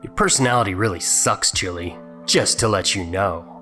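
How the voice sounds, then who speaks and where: Low-voiced sarcastic man reading over music. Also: moderate pace, bright, forceful tone. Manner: sarcastic, mocking. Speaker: host. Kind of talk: dramatic reading with wry commentary.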